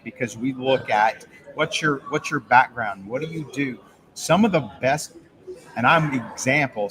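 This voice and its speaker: A man hosting a podcast interview, often chiming in with quick words of agreement. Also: medium pitch, low-quality recording. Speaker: podcast host. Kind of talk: casual interview chat.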